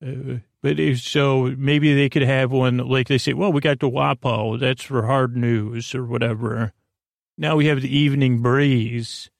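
A man with a mid-pitched, gravelly creak talks in a dry, echo-free recording. He rambles as a bedtime podcast host would, hesitating, drifting off course and circling back to where he was. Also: steady tone, a little slow. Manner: meandering, hesitant, keeps losing his thread and doubling back.

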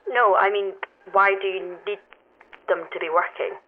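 A woman's voice: medium pitch, steady tone, moderate pace, a little hiss or hum. Curious woman on a phone line. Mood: curious, probing. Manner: questioning, direct, slightly awkward. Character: curious, determined woman climbing a mysterious tower. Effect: telephone filter. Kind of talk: naturalistic audio drama dialogue. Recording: thin phone-line sound, music underneath.

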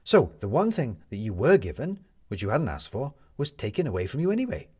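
Man as woman reporter, raised pitch, wry, sardonic, commanding, swooping intonation, storybook reading. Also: wildly swooping pitch, a little fast, darkish tone, slightly rough. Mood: cutting. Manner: articulate, sardonic, lecturing.

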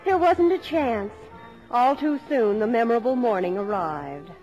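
Faint music sits behind a wry American daughter narrating family memories. Her voice is rather high and deliberate, in 1950s radio drama style. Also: lively intonation, darkish tone, lo-fi sound.

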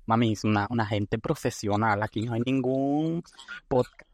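A man's voice: medium pitch, moderate pace, a little mellow. A man co-hosting a casual podcast, talking in a playful, joking and theatrical way. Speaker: podcast co-host.

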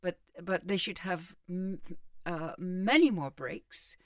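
A woman's voice: fairly low pitch, takes it slowly, no room sound, studio quality, distant mic. A middle-aged woman from England, speaking as a guest psychotherapist in a casual interview and explaining things emphatically with examples.

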